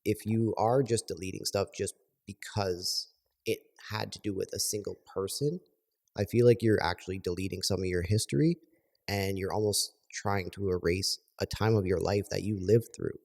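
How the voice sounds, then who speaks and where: A young man co-hosting a podcast in casual chat, calmly explaining his view in a measured way. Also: low-pitched, vocal fry. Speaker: podcast co-host.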